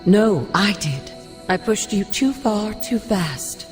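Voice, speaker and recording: Formal, measured ghostly mentor. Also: female voice, mid-range voice, expressive, soft background music. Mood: solemn. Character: ghost of a stern, caring older female mentor. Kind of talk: video game cutscene acting.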